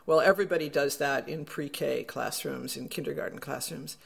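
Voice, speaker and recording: A man's voice: on the high side, normal speed, creaky, breathy edge. A man being interviewed as an education expert, explaining in a thoughtful, self-correcting way. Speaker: education researcher guest. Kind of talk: interview answer.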